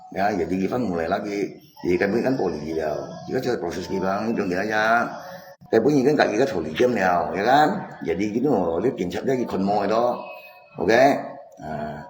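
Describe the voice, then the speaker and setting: A man chatting casually and insistently on a live stream, often repeating himself. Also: lowish voice. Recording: echoey room, music mixed in. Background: music.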